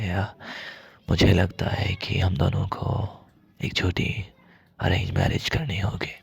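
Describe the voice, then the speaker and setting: A man acts out a mafia boss's lovesick son in an intimate ASMR roleplay. His low voice is heavily creaky and husky, sometimes slipping toward a whisper. He confides haltingly and apologetically, and the audio is slightly dull. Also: darkish tone, no room sound, exaggerated voice. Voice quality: gravelly, husky, breathy.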